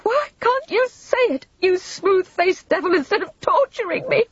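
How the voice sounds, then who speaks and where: A woman voicing a shrill, nervous, sneering and craven younger woman in a dramatised storybook reading. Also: animated, unhurried, husky, exaggerated voice. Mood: furious, shrill. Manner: bitter, rattled, sharp short laugh. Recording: roomy, slightly dull audiobook recording.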